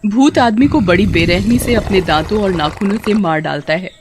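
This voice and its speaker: Casting: storyteller for animated horror tales, mid-range voice, scripted dramatic narration. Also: medium pitch, normal speed, background noise.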